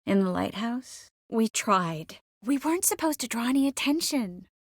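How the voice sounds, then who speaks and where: A woman voicing an earnest, tender, quick-witted young sorceress in dramatic fantasy video game dialogue. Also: on the high side, animated, no room sound, clean studio sound.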